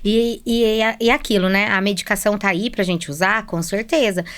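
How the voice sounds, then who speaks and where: A woman's voice: medium pitch, steady tone, moderate pace, a bit bright. A woman with a Brazilian accent speaking as a guest nutritionist, explaining conversationally with frequent tag questions. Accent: Brazilian Portuguese.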